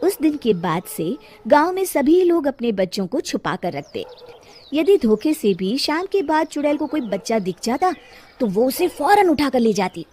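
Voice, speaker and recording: Female narrator for cartoon folktales: raised pitch, animated intonation, expressive scripted storytelling. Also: moderate pace, a little hiss or hum.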